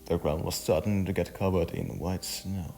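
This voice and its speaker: A man narrating a scripted story in a halting way, stumbling over words. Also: low-pitched, big pitch swings, vocal fry, mellow, soft background music.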